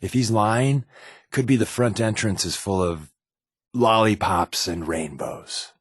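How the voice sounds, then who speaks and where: An actor playing a wisecracking, sardonic spy companion in a video game, with casual scripted dialogue. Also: male voice, fairly low pitch, slow, breathy edge, no room sound, clean studio sound. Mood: dry, sardonic. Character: wry, joking male spy companion with dry swagger.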